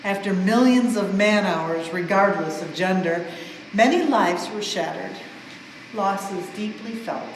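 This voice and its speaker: A woman reading a rhyming storybook aloud in an expressive, measured way, as for a museum storytime. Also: mid-range voice. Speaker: museum staff member reading a children's book aloud. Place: indoors in a museum exhibit space. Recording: very echoey reverberant hall, faint background noise.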